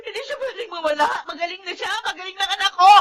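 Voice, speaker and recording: A woman's voice: extremely high pitch, fast talker, heavy background noise. A woman with a Filipino accent acting an anxious, loving young mother in a television melodrama, emotional and pleading. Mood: wailing grief.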